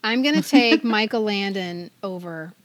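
A woman's voice: mid-range voice, a little slow, fairly bright tone, dry recording. A middle-aged American woman co-hosting a podcast, chatting casually and reading out magazine items. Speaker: podcast co-host.

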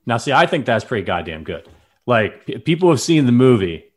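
A man's voice: mid-range voice, big pitch swings, fairly quick. A man co-hosting a podcast in casual, playful chat, often laughing. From lives in Chicago. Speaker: podcast co-host.

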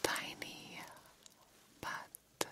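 A woman whispering an ASMR roleplay as a vampire doctor, breathy, deliberate and gently instructive. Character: female vampire doctor tending a young patient. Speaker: ASMR performer.

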